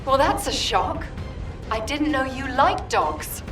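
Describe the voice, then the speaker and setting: A woman's voice: high voice, lively intonation, slow pace, full character voice. An actress playing a playful young female teammate in a sci-fi video game, talking with a teasing, mocking lilt. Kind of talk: scripted video game dialogue. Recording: distant, echoey, with loud music.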